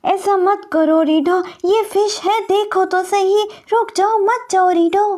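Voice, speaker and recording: Woman as a high-voiced worried father fish. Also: steady tone, takes it slowly, a little mellow. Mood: pleading. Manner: earnest, urgent pleading. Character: worried young father fish, protective of his baby.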